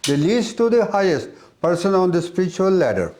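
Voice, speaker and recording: A man giving an explanatory spiritual talk as an interviewed teacher, with halting, repetitive phrasing. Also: high voice, sing-song, darkish tone.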